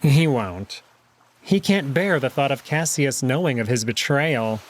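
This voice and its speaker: A man voicing a stern, scheming jackal noble in a visual novel, brisk, terse and commanding. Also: mid-range voice, lively intonation. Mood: quiet, assured.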